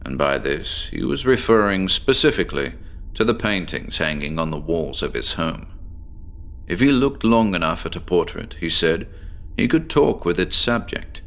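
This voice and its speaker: A man playing an eccentric, sleepless professor who confides his obsession in a measured, articulate, formal way, as a horror audio drama monologue. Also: low-pitched, very animated, vocal fry, fairly bright tone, exaggerated voice. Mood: brooding, reflective. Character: eccentric male insomniac professor, obsessive, confiding, recounting strange experiments.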